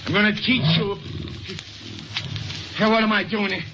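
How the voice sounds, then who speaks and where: An actor playing a hot-tempered, boastful circus owner and animal tamer in a blustering 1940s radio style. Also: male voice, high voice, measured pace. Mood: boastful, agitated. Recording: noisy, muffled old radio broadcast.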